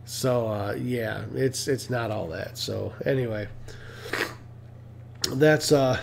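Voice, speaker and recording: A man's voice: medium pitch, slow, creaky, slight background noise. A middle-aged man from Detroit, congested, chatting casually and rambling as a livestream host, with lots of fillers and restarts. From Detroit, Michigan.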